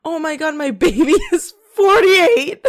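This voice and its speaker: A woman's voice: extremely high pitch, animated, a little slow. A woman co-hosting a podcast in casual chat, chatty and laughing often. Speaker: podcast co-host.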